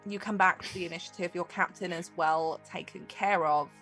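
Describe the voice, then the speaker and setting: A woman's voice: lowish voice, fairly even intonation, brisk, fairly bright tone, soft background music, low-quality recording. A woman running a tabletop game as game master, improvising narration in loose, meandering phrases.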